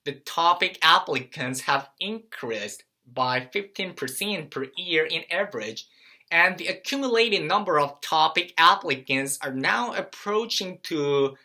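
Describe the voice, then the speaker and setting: A man in his thirties, a native Korean speaker with a Korean accent, calmly explaining a language lesson to a webcam in a small room. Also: a little high. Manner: calm, unhurried explaining. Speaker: Korean teacher. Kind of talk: talking-head lesson to camera. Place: small room by a bright window.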